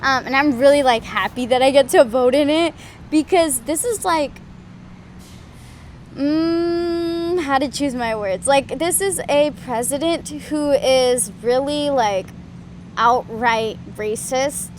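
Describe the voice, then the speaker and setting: A woman's voice: high-pitched, slow, slight background noise. An eighteen-year-old woman from California, searching for her words with lots of "like". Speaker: first-time voter interviewee.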